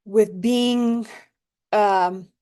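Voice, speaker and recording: A young adult woman, a relationship coach giving advice in an unhurried, reflective way and often checking in with the listener. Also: mid-range voice, fairly even intonation, mellow, clean tone. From Mexico. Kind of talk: casual advice monologue to camera.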